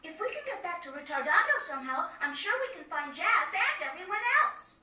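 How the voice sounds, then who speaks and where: A woman's voice: extremely high pitch, big pitch swings, a little fast, very noisy. A woman voicing a cheerful, eager, inquisitive young child in a video game. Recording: echoey, distant, over game music.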